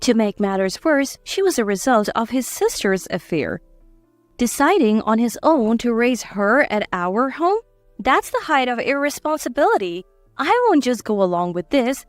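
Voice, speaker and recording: A woman tells a scripted first-person story. Her voice is high and very animated, recorded dry with faint music underneath. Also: moderate pace, no room sound. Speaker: story narrator.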